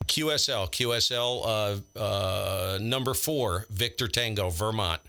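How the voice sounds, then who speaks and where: A man's voice: on the low side, takes it slowly, bright, forceful tone, clear, resonant tone. An American man live-streaming ham radio with casual, blunt, slangy commentary and radio calls. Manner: blunt, slangy, exasperated grumbling.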